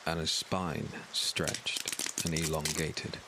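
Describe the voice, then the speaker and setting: A man narrating a scripted dark fantasy audiobook in a grave, dramatic storytelling manner. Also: rumbling low, little pitch movement, slow pace, very noisy.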